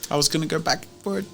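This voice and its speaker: A man's voice: on the high side, gravelly. A man hosting a casual chat, asking questions and wrapping up a session. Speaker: show host.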